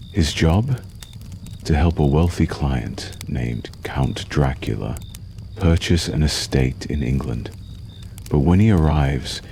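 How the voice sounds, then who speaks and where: Very deep, slow vampire lover acted by a man. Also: dark tone, a little hiss or hum, heavily acted. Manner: slow, soothing, menacingly tender, lingering pauses. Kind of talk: ASMR roleplay, intimate storytelling.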